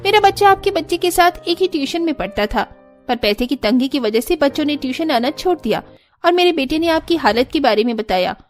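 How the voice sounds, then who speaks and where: A woman's voice: sits high, animated, fairly quick, soft background music. A woman voicing a wealthy, hot-tempered but kind lady employer in a cartoon story, earnest and apologetic.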